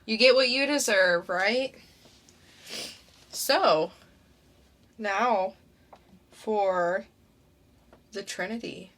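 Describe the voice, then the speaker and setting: A young woman co-hosts a casual podcast chat, answering with short, eager reactions. She speaks at a slow, easy pace, in a mid-pitched voice. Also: a bit bright. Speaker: podcast co-host.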